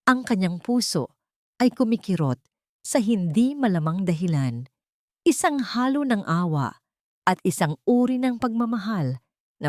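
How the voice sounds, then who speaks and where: A woman reads a dramatic story as its narrator. Her voice sits a little low, and the sound is dry with no room around it. She delivers each phrase in a measured, solemn way. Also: darkish tone, no echo.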